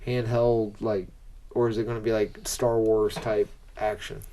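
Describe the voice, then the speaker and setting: A man's voice: lowish voice, little pitch movement, a little slow, warm tone. A man co-hosting a casual podcast, thinking aloud and putting questions piece by piece in an informal way. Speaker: podcast co-host. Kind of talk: casual chat, joking and questioning.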